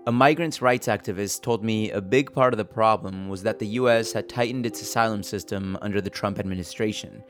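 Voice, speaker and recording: A man's voice: lowish voice, brisk, few pauses. A man reporting and hosting in a scripted narration style. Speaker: podcast host and reporter. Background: music bed.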